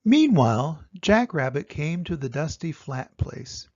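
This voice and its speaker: An older man reading a children's story aloud with expressive, dramatic emphasis. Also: on the high side, measured pace, no echo, lo-fi sound. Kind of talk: animated children's storybook narration.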